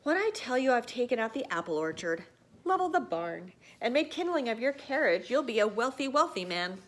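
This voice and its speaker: Cheeky, earnest colonial boy, played by a woman reader; animated, highish, formal declarations for a children's story. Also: fairly quick, a little hiss or hum. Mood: sly, delighted.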